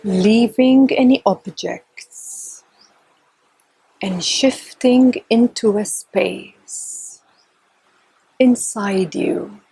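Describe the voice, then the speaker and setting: A woman speaks as a meditation teacher, extremely slowly and gently. Her voice is very breathy and soft, with a mellow tone. She gives calm instructions in short phrases, leaving long pauses between them. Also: mid-range voice, no echo, rough recording. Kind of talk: guided meditation, slow and instructional.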